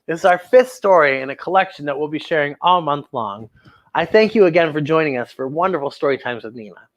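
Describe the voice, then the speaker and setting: A woman's voice: deep voice, expressive, a little fast. A woman hosting children's story time, reading a picture book aloud and exclaiming directly to young viewers.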